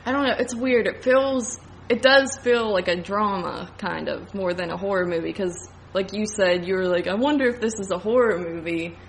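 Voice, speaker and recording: A woman's voice: mid-range voice, normal speed, a little hiss or hum, rough recording. A woman podcast co-host in a casual film review chat, speaking informally and loosely, with lots of filler "like". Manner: informal, hedging, frequent "like".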